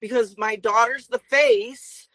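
A woman in her forties speaking as a guest, earnest and talkative with many fillers. Her voice is bright and forward, recorded dry with no room sound. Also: a little high, steady tone, slow pace, no echo, poor audio. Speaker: guest, traveling minister. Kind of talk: interview guest talk.

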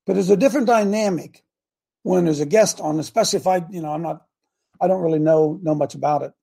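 An older man hosts a loose live chat show in a raspy, gravelly voice. He sounds hoarse and clears his throat often. His tone is dark and husky, and his pitch sits higher than most men's. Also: fast. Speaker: show host.

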